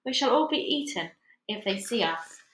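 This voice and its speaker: A woman's voice: fairly high pitch, lively intonation, normal speed, fairly bright tone, echoey room, poor audio. A woman voicing a timid, gloomy earthworm in a children's storybook, fretting and scolding out of fear. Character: timid, gloomy blind earthworm, easily frightened.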